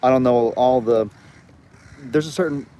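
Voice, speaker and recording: A man's voice: mid-range voice, normal speed, dark tone, a little hiss or hum. A young man with a light Southern US accent, hosting a casual live chat and rambling as he reads viewer comments aloud. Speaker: livestream host.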